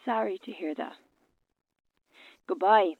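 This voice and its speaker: A woman's voice: mid-range voice, takes it slowly, background noise. An actress playing a sleepy, polite but firm woman, heard through a telephone filter in a radio drama. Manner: polite, restrained, brushing off. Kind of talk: naturalistic radio drama acting. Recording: narrow, dry phone-call sound.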